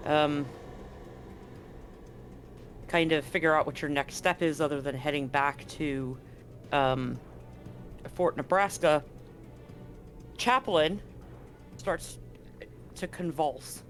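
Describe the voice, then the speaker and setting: A game master narrating a live tabletop sci-fi game in a halting way, pausing mid-phrase. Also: medium pitch, fairly bright tone. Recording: music under the voice. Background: background music.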